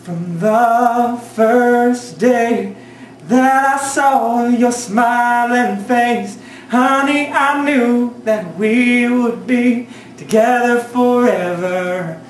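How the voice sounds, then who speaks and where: A woman's voice: a little high, drawn-out words. A woman acting an earnest, devoted, romantic young prince in an amateur stage musical. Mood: tender. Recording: echoey room from far, faint noise.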